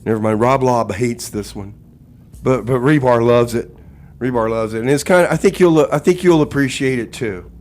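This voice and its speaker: A man's voice: medium pitch, moderate pace, a little mellow. A man hosting a radio comedy and music show, chatting to listeners in a folksy, exclamatory, exasperated way. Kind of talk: live comedy radio banter and music announcing.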